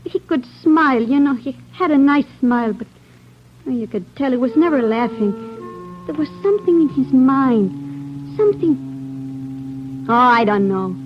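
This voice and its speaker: A guarded young working woman in vintage radio drama. Her voice is on the high side, and she feels for her words in short phrases. The sound is muffled. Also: expressive, unhurried, long pauses, over music. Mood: wistful, pitying. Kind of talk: 1940s radio crime drama acting. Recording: dull vintage 1940s radio recording.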